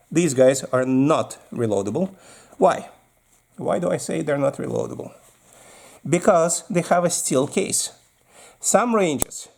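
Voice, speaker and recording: A man's voice: on the high side, darkish tone. A man with a Russian accent giving practical, casual instructions as a video host, explaining while handling his gear.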